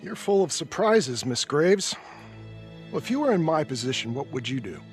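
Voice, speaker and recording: An actor playing a folksy, skeptical judge in a crime thriller game, wry and authoritative. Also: male voice, on the high side, very animated, moderate pace. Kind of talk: dramatic game acting with folksy bite. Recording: faint music under the voice.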